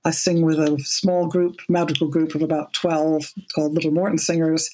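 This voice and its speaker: Low-voiced woman in her seventies. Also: flat delivery, darkish tone, slight background noise, low-quality recording. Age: 70s. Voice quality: low speaking voice. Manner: measured, thoughtful, restarts with repeated words. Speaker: guest. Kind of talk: casual podcast interview.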